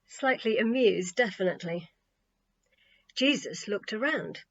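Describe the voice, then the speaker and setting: A woman's voice: medium pitch, animated, a little slow, a bit bright. Woman narrating a children's story, slightly muffled. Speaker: audiobook narrator.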